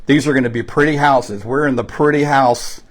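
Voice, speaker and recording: A man with a Texan accent talks straight to the listener in a folksy, conversational sales pitch. His voice sits at an ordinary middle pitch, and he often stops to correct himself or fill pauses with "uh" and "um". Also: fairly even intonation. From Texas. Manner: folksy and chatty, with frequent fillers and self-corrections. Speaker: real estate investment promoter. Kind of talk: direct-to-camera sales pitch.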